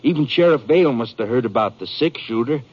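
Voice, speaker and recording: A man acting a frightened, aggrieved American rancher on the run in a 1950s radio western, speaking in a rustic, earnest, halting way. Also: mid-range voice, expressive, breathy edge. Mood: anxious, pleading. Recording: muffled 1950s radio recording.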